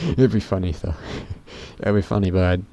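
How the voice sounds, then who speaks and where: A teenage boy with a very deep voice, rambling casually to camera in a vlog, often stopping himself mid-sentence and swearing offhandedly. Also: a little slow, warm tone. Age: teens. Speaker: YouTuber.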